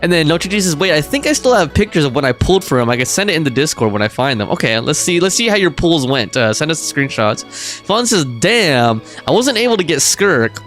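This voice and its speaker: Guy streamer reading chat out loud, super up and down voice, kinda high, bit of game music behind. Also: big pitch swings, fast talker. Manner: chatty, reads messages aloud. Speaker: game livestreamer. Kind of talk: casual livestream chat with viewers.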